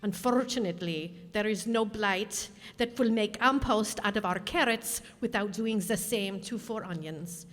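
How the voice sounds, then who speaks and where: A woman's voice: mid-range voice, measured pace, hardly any pauses, a bit bright, soft background music, lightly acted. Woman playing scheming onion ruler in echoing hall. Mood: courteous, coldly reasoning. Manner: theatrical, condescending, formal. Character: smooth scheming onion leader, menacing and falsely courteous. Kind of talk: stage radio drama acting. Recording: reverberant hall, stage performance.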